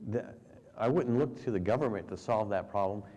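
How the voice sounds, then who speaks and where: A man's voice: lowish voice, a little fast, darkish tone. A man giving direct, opinionated answers on a conference panel, speaking informally in an echoing hall. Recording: reverberant hall with faint background noise.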